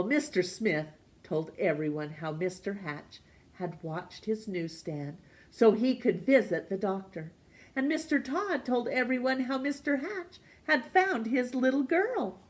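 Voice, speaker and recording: A woman reading a children's picture book aloud for storytime, gently and expressively. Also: medium pitch. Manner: gentle, slow and expressive, voicing characters.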